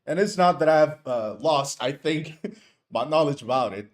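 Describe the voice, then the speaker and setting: A man's voice: a little high, animated, normal speed. Hesitant man teaching live. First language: Spanish. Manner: hesitant, many fillers and restarts, friendly asides. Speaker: course instructor and streamer. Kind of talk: casual live-stream teaching.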